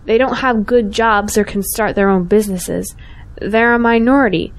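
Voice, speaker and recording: A woman's voice: mid-range voice, steady tone, normal speed, lightly acted. A young woman playing a principled, outspoken schoolteacher in an audio drama, earnest and pointed. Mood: sarcastic, pointed. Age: young adult.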